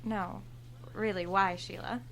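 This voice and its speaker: An actress playing a sardonic, self-assured store cashier of thirty in a horror audio drama. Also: female voice, mid-range voice, steady tone, takes it slowly, creaky, fairly bright tone. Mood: defiant. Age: 30s. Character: brazen, entitled thirty-year-old store cashier, sly confidante.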